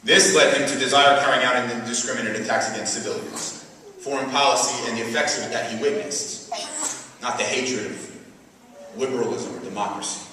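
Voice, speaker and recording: A man in his thirties reading a prepared speech at a podium in a mosque prayer hall, in a measured, deliberate way. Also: medium pitch, bright, forceful tone, slight background noise. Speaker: speaker at a community event. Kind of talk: read speech. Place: mosque prayer hall, indoors, medium-sized. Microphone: podium mic filmed by a far camera. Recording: echoey hall, voice heard from far off.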